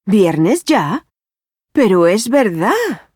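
A friendly, unhurried bank worker. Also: female voice, medium pitch, big pitch swings, a little mellow, slightly rough, no echo, studio quality, full character voice. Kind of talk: language-course dialogue acting. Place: dry studio.

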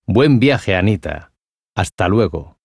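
A man's voice: low voice, expressive, slow, creaky, darkish tone, husky, dry recording, studio quality, exaggerated voice. An actor playing a curious, friendly young man in language-course dialogues, chatty and full of questions. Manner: chatty, inquisitive. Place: dry studio.

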